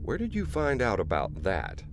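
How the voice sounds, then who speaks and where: An actor playing a greedy, ruthless corporate boss in an audio drama, smug, sardonic and profane. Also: male voice, on the low side, huge pitch swings, normal speed, creaky, full character voice. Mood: angry, gloating. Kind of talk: dramatic radio play acting.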